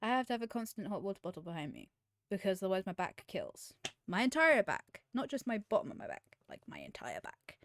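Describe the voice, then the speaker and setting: A woman's voice: mid-range voice, wildly swooping pitch, brisk, a little hiss or hum. A woman, chatting candidly to camera as a streamer, often trailing off and restarting. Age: 20s. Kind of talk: casual chat to camera.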